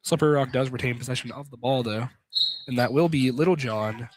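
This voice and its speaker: A man's voice: mid-range voice, a little fast. A man giving live radio play-by-play of a basketball game, with casual, opinionated asides. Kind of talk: live radio sports commentary. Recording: noisy live gym broadcast.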